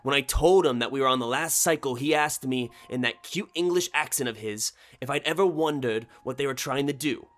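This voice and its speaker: Man voicing an agitated engineer, pitched up. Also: brisk, hardly any pauses, a bit bright, natural voice. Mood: excited. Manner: agitated, emphatic. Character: anxious, excitable younger male engineer breaking urgent news. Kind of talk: short story character dialogue.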